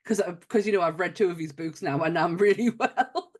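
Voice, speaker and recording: A woman's voice: fairly low pitch, a little fast, darkish tone. A woman with a light Wearside accent from north-east England, co-hosting a podcast in giggly, rambling casual chat. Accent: light Wearside (Sunderland–Durham). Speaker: podcast co-host.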